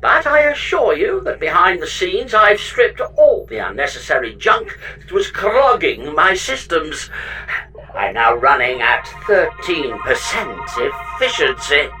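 Woman playing scatterbrained clingy ship AI, low-pitched, bright and swooping, breezy, comic audio drama, slightly off-mic. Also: very animated, a little slow, recorded from a distance, faint music behind, exaggerated voice, poor audio. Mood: breezy, evasive. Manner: theatrical, breezy, exaggerated. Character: chatty, scatterbrained, possessive spaceship computer, cheerfully evasive. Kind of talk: comedy sci-fi audio drama acting.

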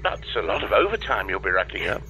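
A man's voice: low voice, sing-song, fast, creaky, bright, forceful tone, highs cut off, faint music behind, heavily acted. A man playing an evasive, breezily unhelpful space-agency dispatcher in a comedy audio drama, talking over a radio link. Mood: breezily upbeat. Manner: breezy, evasive, hesitant when cornered.

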